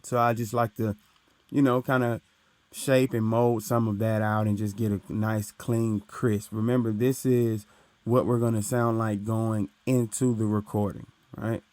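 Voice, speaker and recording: Young guy w a deep low voice, chill tutorial vibe, keeps saying right, dry studio mic. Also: little pitch movement, a little slow, a little mellow, dry recording. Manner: explains loosely with frequent "right?" and "uh". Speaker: recording engineer teaching. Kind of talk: casual tutorial walkthrough. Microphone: studio microphone through his interface.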